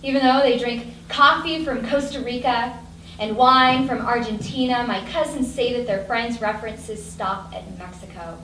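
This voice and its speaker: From across an echoey room, an actress plays a wry woman delivering a stage monologue. Her voice sits fairly high, with faint noise around it. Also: moderate pace, fairly bright tone, far from the mic, close to an everyday voice. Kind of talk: staged dramatic reading, monologue. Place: live staged reading indoors.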